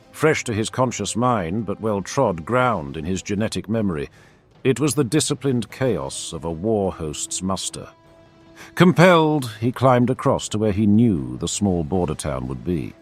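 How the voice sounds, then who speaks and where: A man narrating a fantasy war story in a scripted, dramatic storytelling style. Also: fairly low pitch.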